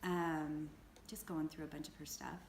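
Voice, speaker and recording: A woman in her forties with a slightly low voice, speaking informally and reading aloud. The room adds a clear echo, and there is faint noise behind her. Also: flat delivery, unhurried. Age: 40s. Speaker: interview guest sharing her mother's letter. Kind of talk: casual chat and reading a letter aloud.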